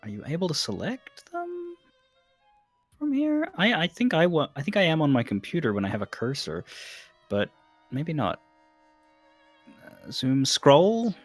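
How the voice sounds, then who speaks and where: A man's voice: fairly high pitch, wildly swooping pitch, unhurried, pauses often, faint music behind. A man giving casual game commentary, explaining things hesitantly as he thinks aloud. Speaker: stream co-host guiding a player.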